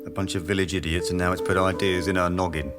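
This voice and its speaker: An actor playing a domineering, sardonic British research boss in middle age, in a radio drama. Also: male voice, sits low, little pitch movement, fast talker, music in the background, natural voice. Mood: scornful. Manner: sardonic, brusque, mocking. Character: domineering, sneering male boss of a research team. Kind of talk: naturalistic radio drama acting.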